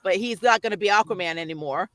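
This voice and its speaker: A woman hosting a casual chat, speaking in an animated, opinionated way and laughing readily. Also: medium pitch, fast talker, crowd noise. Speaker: host.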